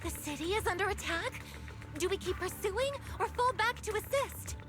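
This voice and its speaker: A woman's voice: very high voice, lively intonation, normal speed. An actress playing an earnest, urgent young female soldier in an anime game, with music behind her. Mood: focused, serious.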